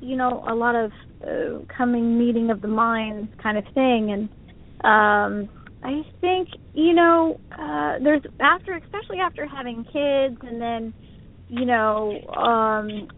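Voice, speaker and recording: A woman in her forties chatting casually as co-host, rambling and self-deprecating, often laughing at herself. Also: on the high side. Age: 40s. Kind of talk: casual chat on internet radio. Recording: dry tinny phone line.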